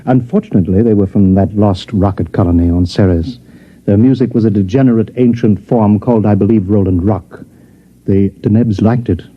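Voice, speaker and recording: A man's voice: on the low side, moderate pace, natural voice. An actor playing a genial, talkative counterfeiter in a 1950s radio science fiction drama, with an urbane, showman's manner. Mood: chatty, explaining. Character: genial, talkative male counterfeiter living among aliens. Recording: dull, muffled vintage radio recording.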